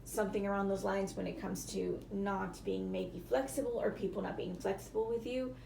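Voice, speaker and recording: A woman with a hoarse, cracking voice, giving a casual tarot reading to viewers and often apologizing. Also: mid-range voice, steady tone, normal speed, a little mellow, reverberant, a little hiss or hum, low-quality recording. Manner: chatty, apologetic, hedging with fillers. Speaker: tarot reader.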